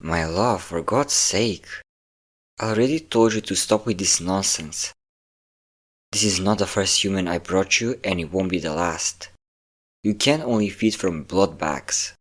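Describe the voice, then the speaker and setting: Man voicing a deep, possessive vampire lover. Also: a little slow. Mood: stern, coaxing. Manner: teasing, commanding, breathy whispers at times. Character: possessive yandere male vampire, teasing, domineering lover. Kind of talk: intimate audio roleplay addressed to the listener.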